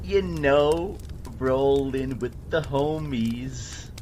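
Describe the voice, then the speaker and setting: A man playing an excited, lovestruck teenage girl who gushes in halting slang, in an amateur re-enactment of a film scene. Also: mid-range voice, a little hiss or hum. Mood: gushing. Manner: gushing, halting teen slang.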